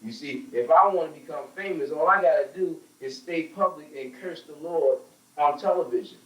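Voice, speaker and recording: Higher-pitched American man, echoey and distant. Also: moderate pace, fairly bright tone. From United States. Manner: emphatic, preaching, repeats phrases for emphasis. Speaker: panel speaker on a religious talk show. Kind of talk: opinionated group discussion. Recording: roomy echo, distant microphone.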